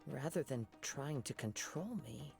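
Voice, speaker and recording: An actor playing a calm young wandering swordsman in a video game, measured and formal in his phrasing. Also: medium pitch, vocal fry, soft background music. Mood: calm, resolute.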